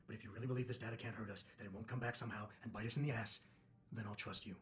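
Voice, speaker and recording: An actor playing a terse, wary, stubbornly dutiful security chief in a video game, blunt and suspicious. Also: male voice, fairly low pitch, fairly even intonation, rapid-fire, close to an everyday voice. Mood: reluctant.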